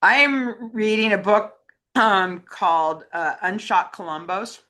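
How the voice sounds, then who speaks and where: Female co-host, bright forward voice at medium pitch, casual conversational delivery. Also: measured pace. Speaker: co-host fielding fan questions.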